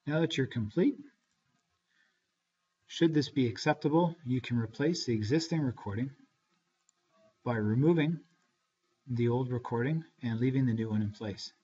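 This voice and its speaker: Dull-sounding male software tutorial narrator. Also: mid-range voice, normal speed, pauses often, husky. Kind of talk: step-by-step scripted tutorial narration. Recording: dull, lo-fi screencast voice-over.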